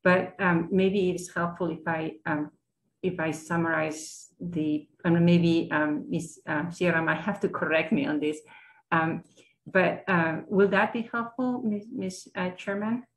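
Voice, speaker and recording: Female board member, slightly low and monotone, tentative, courteous, on a video call. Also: rough recording. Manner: tentative, polite, halting. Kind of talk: formal meeting discussion.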